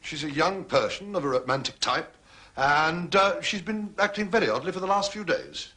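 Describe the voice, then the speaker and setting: An actor playing a deferential, unflappable butler in a period mystery drama, formal and carefully hesitant. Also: male voice, fairly high pitch, pushed and bright, husky, exaggerated voice. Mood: respectful, guarded. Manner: formal, obsequious, measured hesitations. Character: smooth, deferential male butler, unflappable and evasive.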